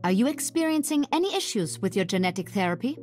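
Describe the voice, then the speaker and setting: An actress voicing a caring, principled woman doctor in a video game, speaking softly and precisely. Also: medium pitch, sing-song, quick pace, close to an everyday voice. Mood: gentle concern. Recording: game clip with music behind.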